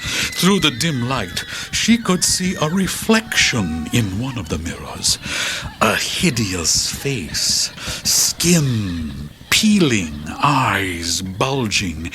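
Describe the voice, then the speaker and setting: A man's voice: mid-range voice, fairly bright tone. A man playing a sinister, theatrical horror storyteller, narrating a suspenseful tale. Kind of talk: dramatic scripted narration. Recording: noisy old cassette transfer.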